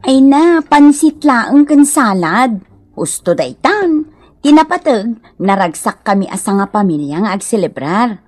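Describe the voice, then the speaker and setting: A woman's voice: on the high side, big pitch swings, a little slow, a little mellow, no room sound. A woman playing an agreeable, cheerful and hospitable wife in a radio comedy drama, with a homely manner.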